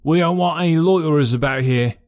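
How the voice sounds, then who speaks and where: A man's voice: on the high side, a little fast, a little mellow, dry recording, clean studio sound, voice pushed up, lightly acted. A man doing a brusque stable groom. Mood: wary. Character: wary male stable groom.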